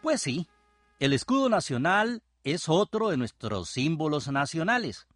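A man giving scripted educational narration with an expressive, patriotic delivery. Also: on the high side, a little slow, no room sound. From Costa Rica.

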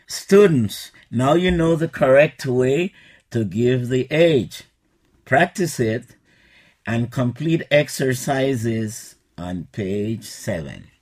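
A man, teaching an English lesson in a slow, patient scripted style. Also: medium pitch, animated, a little mellow. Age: 60s.

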